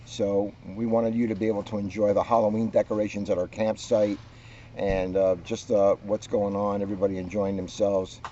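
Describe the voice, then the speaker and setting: A man, a police officer, reading a children's book aloud with expressive, drawn-out emphasis and casual asides. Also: on the low side, slight background noise. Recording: dull, muffled lo-fi sound.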